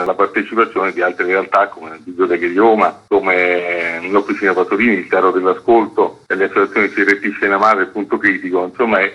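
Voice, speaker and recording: Low-pitched guy explaining his festival on the radio, wordy n articulate, kinda muffled sound. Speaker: artistic director and festival curator, interview guest.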